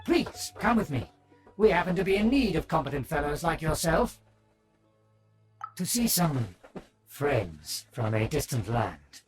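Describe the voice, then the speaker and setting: A man's voice: on the high side, sing-song, measured pace, long pauses, soft background music. A man voicing a pompous, haughty aristocrat with formal, archaic speech in a video game. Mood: confiding. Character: pompous, haughty aristocratic nobleman, easily flattered. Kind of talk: scripted video game character acting.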